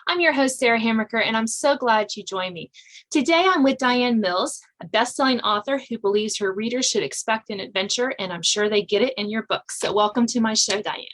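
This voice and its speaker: A woman's voice: on the high side, brisk, fairly bright tone, poor audio. A woman hosting a podcast in a casual interview style, informal and often rephrasing her thoughts mid-sentence. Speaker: podcast host.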